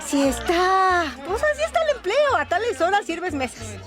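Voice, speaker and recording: A giggly, talkative waitress with singing dreams in a radio play. Her voice sits very high and swings freely, rambling in homely colloquial speech over a bed of music. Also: very animated, normal speed. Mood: giggly, coy. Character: chatty, giggly barroom waitress and aspiring singer. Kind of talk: radio drama acting.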